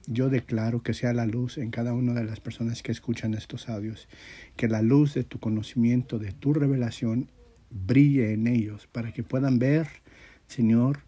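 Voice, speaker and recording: A man, stuffed up with a sore throat, teaching and praying as a religious leader in an informal, earnest, halting way with frequent repetition. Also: mid-range voice, dark tone, slightly rough. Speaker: religious teacher leading an audio class. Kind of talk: informal sermon and prayer.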